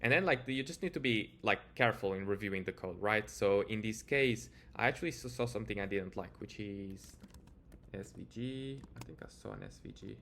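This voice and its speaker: A man's voice: lowish voice, expressive, fairly quick, vocal fry, fairly bright tone. A man with a Portuguese accent giving a casual screen-share tutorial, speaking informally and hesitantly with lots of filler words. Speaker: software developer presenting a tutorial.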